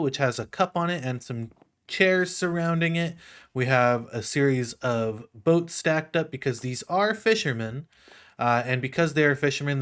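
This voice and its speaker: A man's voice: medium pitch, fairly bright tone, clear, resonant tone. A man giving casual gameplay commentary as a video host, playful and chatty, often thinking aloud. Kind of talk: casual gameplay commentary over a block-building game.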